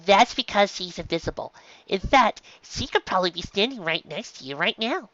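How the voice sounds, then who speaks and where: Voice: medium pitch, sing-song, moderate pace, bright, forceful tone, no room sound, dull audio, voice pushed up. A reader voicing a weary, caring female pegasus mail carrier in a storybook, with a dry, grumbling, questioning manner. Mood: dry, calm.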